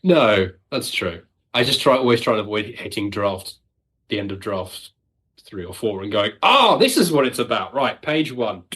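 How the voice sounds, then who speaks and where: Husky, low-pitched man chatting. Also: very animated, moderate pace, fairly bright tone. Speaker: audio drama writer, podcast guest. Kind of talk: casual discussion.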